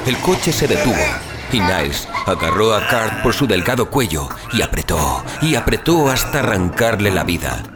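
Low voiced guy telling a dramatic story, really expressive, music behind him. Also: moderate pace. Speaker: narrator. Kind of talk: dramatic scripted story narration.

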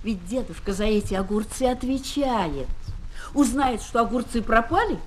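A woman playing a firm, principled mother in a children's audio drama, speaking in an insistent, expressive way. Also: on the high side, moderate pace, close to an everyday voice. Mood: firm, reproachful. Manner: expressive, insistent, repeating words. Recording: noisy old 1970s flexi-disc recording.

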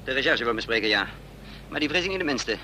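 An actor playing a bold, quick-witted fugitive in a Dutch radio play, urgent and commanding. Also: male voice, medium pitch, lively intonation, sharp tone. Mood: earnest, pressed. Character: bold hunted fugitive without memory, quick-witted man of action. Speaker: lead actor and first-person narrator. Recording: noisy radio play recording with vehicle sounds.

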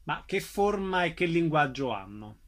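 Higher-pitched man hosting a radio interview. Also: sing-song, a little slow, fairly bright tone. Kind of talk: conversational arts radio interview.

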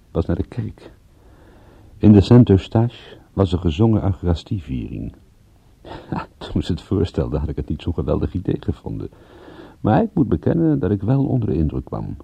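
A wry, laconic private detective in a radio drama. His voice is very low and gravelly, with lively swings in intonation. The recording is dull and lo-fi. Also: full character voice. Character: wry male private detective narrating his own case. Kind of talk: radio play acting with first-person narration.

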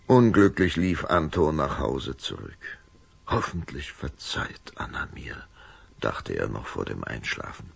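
A man narrating a scripted children's audio drama in a dramatic, expressive storytelling manner. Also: extremely low pitch, measured pace, creaky, husky.

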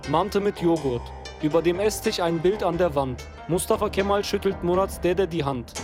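Guy acting as a pointed narrator in a radio play, high voice, music under him. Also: moderate pace, close to an everyday voice. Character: male accuser and narrator, pointed storyteller.

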